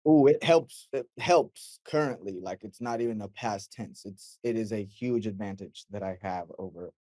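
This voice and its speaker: A young man from Mexico, a guest mix engineer, telling his story in a relaxed, animated way, full of fillers and acted-out quotes. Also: lowish voice, moderate pace, dry recording. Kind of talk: casual interview chat.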